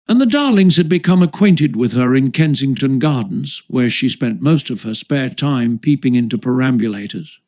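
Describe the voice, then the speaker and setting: A man's voice: mid-range voice, darkish tone. A man reading a children's story as scripted audiobook narration.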